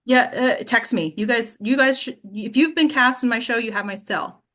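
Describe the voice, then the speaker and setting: A woman's voice: on the high side, normal speed, fairly bright tone. A woman acting coach teaching in a casual question-and-answer style, direct and instructive, often checking understanding with short tag questions.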